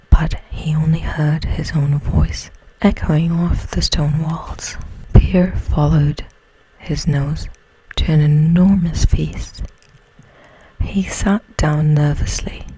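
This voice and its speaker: A woman reads a bedtime story in ASMR style. Her voice is a very breathy whisper, soft-toned and low. She narrates slowly, as a storyteller. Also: steady tone, rough recording.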